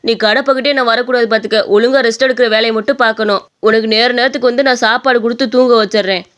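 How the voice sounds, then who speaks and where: A woman's voice: a little high, fairly even intonation, fast, fairly bright tone, lightly acted. A woman voicing a brash, petulant and demanding young man in a story. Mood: upset, caring. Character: brash, demanding young man, idle son of idli seller.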